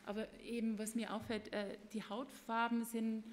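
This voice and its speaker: A woman's voice: a little high, level tone, normal speed. Hesitant woman guest on stage. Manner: casual, many fillers and hesitations. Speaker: performer and interview guest. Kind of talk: live stage conversation. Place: gallery event space. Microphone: table mic.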